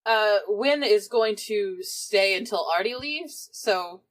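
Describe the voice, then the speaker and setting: A woman's voice: medium pitch, animated, takes it slowly. A woman playing a tabletop game, talking casually as she improvises her character's words and actions. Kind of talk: casual improvised role-play chat.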